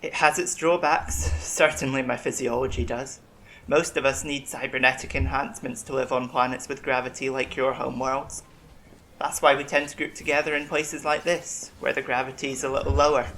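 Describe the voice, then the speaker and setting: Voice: mid-range voice, level tone, moderate pace, a bit bright, natural voice, rough recording. An actor playing a centuries-old, eccentric alien medic in a science-fiction audio drama, polite, eager and talkative with learned diction. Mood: chatty, reflective.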